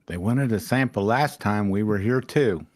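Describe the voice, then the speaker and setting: A man's voice: lowish voice, normal speed, no room sound. A man in casual comic banter as part of a fanfiction reading group.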